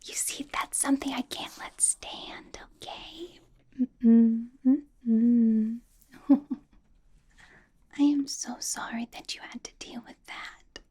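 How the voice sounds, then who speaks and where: Woman acting slow, whispering ancient vampire boss. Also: a little high, breathy edge, exaggerated voice. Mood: protective, quietly vengeful. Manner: slow, drawn-out, coaxing, soft chuckles and repeated words. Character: ancient, doting, menacing female vampire boss. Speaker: ASMR roleplay performer. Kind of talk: whispered ASMR roleplay, personal attention.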